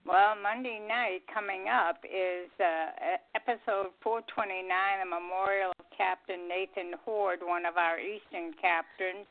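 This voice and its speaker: A woman chatting and announcing upcoming programs in a halting, chatty way as a radio co-host. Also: mid-range voice, steady tone. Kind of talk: casual radio chat and show announcements. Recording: tinny phone line, faint music behind.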